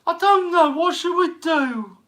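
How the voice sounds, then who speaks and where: A shrill whiny child. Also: male voice, measured pace. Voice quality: shrill, shouted from off-mic. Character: whiny young child nagging its dad.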